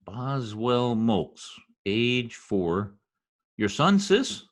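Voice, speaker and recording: A man's voice: medium pitch, big pitch swings, drawn-out words. Man playing a swooping, blustering American census taker. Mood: self-important. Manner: slangy, pompous, mangles words. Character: blustering, folksy, middle-aged American husband, bumbling census taker. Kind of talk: old-time radio comedy acting.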